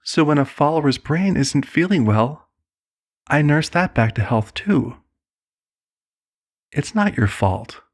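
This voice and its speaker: A man's voice: fairly high pitch, very animated, takes it slowly, pauses often, heavily acted. A man performing an ASMR roleplay as a serenely manipulative cult leader, soothing, condescending and persuasive. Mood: coaxing, reassuring.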